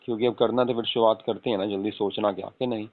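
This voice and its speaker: A man's voice: on the low side, fairly even intonation, fast, natural voice. A man playing an affectionate young husband on a casual late-night call, coaxing and often asking for agreement. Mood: coaxing, persuasive. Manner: coaxing, repeatedly seeking agreement with tag questions. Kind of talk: acted casual phone conversation with spouse. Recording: tinny phone-call audio with room echo.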